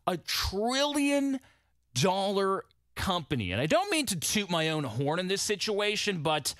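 A man hosts morning radio with a bright, forceful voice that swings up and down in pitch. He leans on key words and repeats them for emphasis. The sound is close and dry, straight into a studio microphone. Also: no room sound. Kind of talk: upbeat commercial radio DJ patter. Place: small radio studio indoors. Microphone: close studio broadcast microphone.

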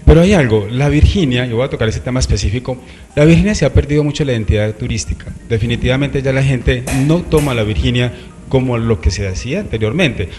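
Fast-talking Colombian male TV host. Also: medium pitch, a little mellow. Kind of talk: conversational morning-show interviewing. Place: small TV studio set. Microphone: handheld microphone.

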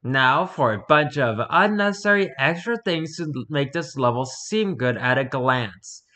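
A man's voice: mid-range voice, expressive, a little slow, sharp tone. A man reading aloud casually with wry offhand asides, sometimes stumbling over the words as he goes. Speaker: streamer reading and reacting to wiki pages.

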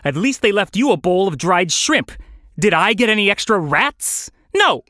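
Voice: high-pitched, huge pitch swings, slow, a bit bright, no room sound, clean studio sound, pitched above the performer's own voice, exaggerated voice. A narrator voicing an irritable, desperate male bird in an audio story, snappish and exclaiming. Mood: exasperated. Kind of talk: audio fiction character voice.

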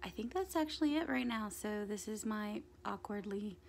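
Young woman vlogging into her phone, raspy and hoarse after a concert, gravelly, lots of ums, bit of hiss. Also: medium pitch, expressive, normal speed. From United States. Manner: rambling, many ums and restarts. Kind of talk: casual chat to camera. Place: small bedroom indoors. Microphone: phone camera held at arm's length.

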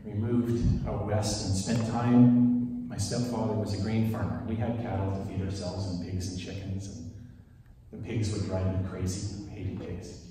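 A Swiss-born man in his forties whose first language is French, giving a relaxed, chatty sermon as worship leader into a handheld mic in a large church. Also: deep voice, normal speed, slight background noise. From Switzerland. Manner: relaxed storytelling, chatty asides. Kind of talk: conversational sermon. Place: large wood-panelled church sanctuary. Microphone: handheld microphone, filmed from far. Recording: echoey church hall from a distance.

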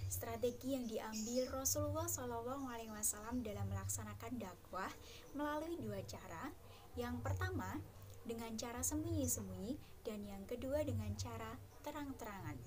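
A young woman teaching a lesson to primary school children, slow and careful, with small fillers. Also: a little high, background noise. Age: young adult. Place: dark room, seated before a backdrop.

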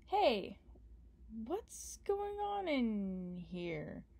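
A woman's voice: on the high side, sing-song, extremely slow, gravelly, warm tone. A young woman doing an ASMR roleplay as a partner, playful, affectionate and teasing. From Canada. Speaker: ASMR performer.

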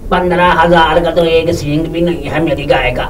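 A man's voice: a little high, steady tone, moderate pace, recorded from a distance, close to an everyday voice. An exasperated puppet cow seller, played by a man, grumbling and haggling in comic style. The voice sits away from the microphone, with faint noise behind it. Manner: grumbling, bargaining. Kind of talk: puppet show comedy.

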